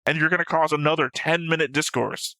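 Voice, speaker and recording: A man's voice: a little high, steady tone, fairly quick, bright, forceful tone, dry recording. A man co-hosting an anime show in casual, opinionated discussion, argumentative and emphatic, swearing freely. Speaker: co-host of an anime reaction show.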